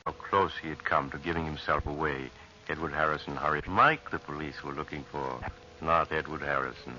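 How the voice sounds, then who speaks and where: An actor playing a grave, dramatic storyteller, narrating a vintage radio horror tale. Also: male voice, extremely low pitch, steady tone, music in the background. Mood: grave, ominous. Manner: dramatic, deliberate. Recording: muffled old radio recording.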